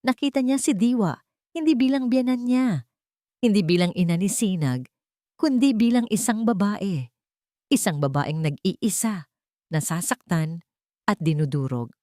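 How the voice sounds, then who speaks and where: A woman reading a scripted dramatic story as its narrator, in a measured, solemn storytelling manner. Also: mid-range voice, lively intonation, dark tone, no echo, studio quality.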